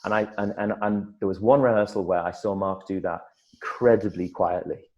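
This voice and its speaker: A middle-aged man, an actor, telling stories in a casual interview, lively and full of restarts. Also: fairly low pitch, moderate pace, muffled recording. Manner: animated storyteller, many restarts and repeated words. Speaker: actor guest.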